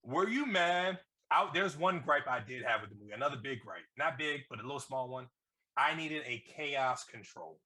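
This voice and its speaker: Bright-voiced man in rambling, slangy chat. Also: mid-range voice, normal speed. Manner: excitable, rambling, repeats himself, swears freely. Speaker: podcast co-host reviewing a movie. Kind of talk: casual, slangy movie chat.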